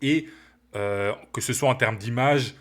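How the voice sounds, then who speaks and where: A man with a cold hosting a football channel, talking to viewers in an animated, opinionated, casual way from his living room. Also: mid-range voice, measured pace. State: has a cold. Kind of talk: casual opinion commentary to camera.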